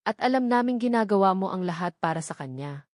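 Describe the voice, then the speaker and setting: A composed male investigator from an audio drama, read by a woman with a Filipino accent. He speaks calmly but with emphasis, firm and persuasive. The sound is close and dry. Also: mid-range voice, fairly quick, no echo, natural voice.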